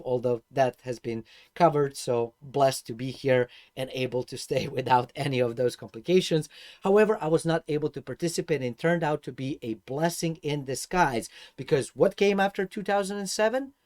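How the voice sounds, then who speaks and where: Male online instructor, middle-aged, Serbian accent, slightly high voice, emphatic and animated storyteller. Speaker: host and instructor of an investing course. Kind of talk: informal personal-story lecture to viewers.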